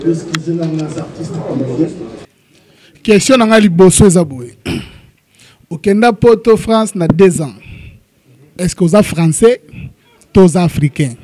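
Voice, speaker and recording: A male interviewer loudly putting questions to an artist at a press event. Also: high voice, lively intonation, unhurried, long pauses, slightly rough. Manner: loud, emphatic, calling out to the room.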